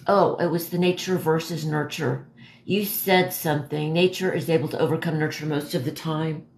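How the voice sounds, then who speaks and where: A woman in her forties with a hoarse voice, chatting casually and haltingly as she answers viewers on a livestream. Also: deep voice, darkish tone. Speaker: livestream host. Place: dim living room at home, indoors. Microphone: phone propped a step or two away. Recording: echoey room, phone at a distance.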